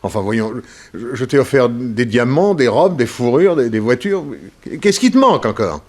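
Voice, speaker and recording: An actor playing a wealthy, doting and evasive stockbroker in an early 1960s radio drama, coaxing and hesitant when pressed. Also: male voice, medium pitch, animated, normal speed, lightly acted. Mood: stunned.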